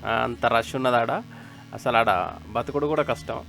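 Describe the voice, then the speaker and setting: A man's voice: medium pitch, moderate pace, creaky, a bit bright. A man with a Telangana Telugu accent giving casual, informal game update commentary, repeating himself and advising listeners directly. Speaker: gaming video creator. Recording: music behind the voice. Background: music.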